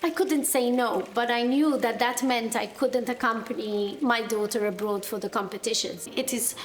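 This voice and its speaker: A woman's voice: on the high side, moderate pace, a little hiss or hum. A woman event planner talking casually and directly as she explains her work. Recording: roomy sound with music behind.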